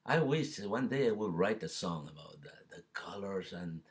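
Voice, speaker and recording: A man from El Salvador with a Salvadoran Spanish accent, chatting casually in a halting, repetitive, rambling way. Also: fairly low pitch, animated. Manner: halting, repetitive, peppered with "you know". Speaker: guest singer-songwriter. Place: live video call.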